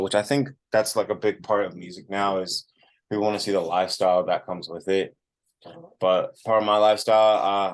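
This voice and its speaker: A man, a musician being interviewed, talking casually and informally with "like" dropped in often. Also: low voice. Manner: informal, frequent "like" as filler.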